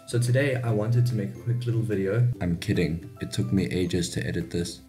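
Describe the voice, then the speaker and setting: Deep, mellow young South African man. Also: steady tone, a little fast, faint music behind. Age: 20s. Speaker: YouTube host. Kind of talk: informal explainer vlog about languages. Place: small home bedroom.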